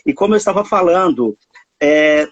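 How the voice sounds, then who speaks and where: Lively high-voiced husky Mineiro man. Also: normal speed, muffled recording. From Minas Gerais, Brazil. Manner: warm, chatty, animated, frequent tag questions. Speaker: host of a live stream. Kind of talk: casual live-stream chat.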